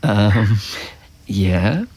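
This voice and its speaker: An actor playing an awkward, easygoing young Australian man in a naturalistic audio drama, with casual, halting, self-deprecating delivery. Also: fairly low pitch, animated, background noise. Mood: flustered, bashful.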